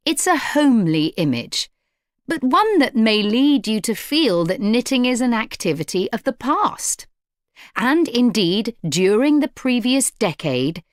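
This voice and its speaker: A woman's voice: a little high, dry recording, studio quality. A woman playing a fashion design lecturer, giving a scripted, articulate, explanatory lecture.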